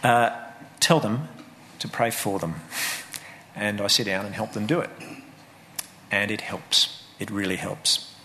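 Earnest man with a low, bright voice. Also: measured pace, long pauses, slight background noise. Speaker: speaker on faith and family. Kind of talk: sermon-like talk.

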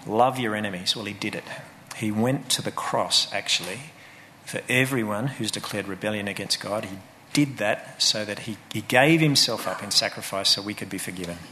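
A man's voice: on the low side, moderate pace, bright, forceful tone, a little hiss or hum, rough recording. A man giving an earnest, sermon-like talk about faith and family.

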